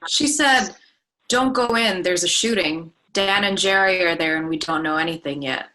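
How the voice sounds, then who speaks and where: A woman's voice: on the low side, normal speed, bright, forceful tone, low-quality recording. A woman reading the part of a shaken congregant in a documentary play, recounting events plainly. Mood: tense, helpless. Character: shaken synagogue congregant recounting the morning of an attack. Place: remote Zoom reading from a room.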